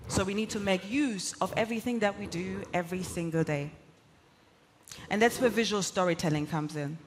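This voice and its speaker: A woman whose first language is German delivers a motivational keynote in a steady, mid-range voice. She sounds earnest and emphatic, stacking her points into repeated lists. A faint hiss sits under her voice. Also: mid-range voice, brisk. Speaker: keynote speaker. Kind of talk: motivational conference talk.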